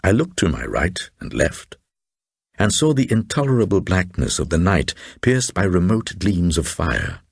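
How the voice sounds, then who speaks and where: An actor playing a reclusive, haunted old man who gravely reads out his uncanny diary in a gothic radio drama, with a gravelly, husky voice. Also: low voice, wildly swooping pitch, no room sound, clean studio sound, heavily acted. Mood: awed, uneasy. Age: older. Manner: grave, brooding, deliberate.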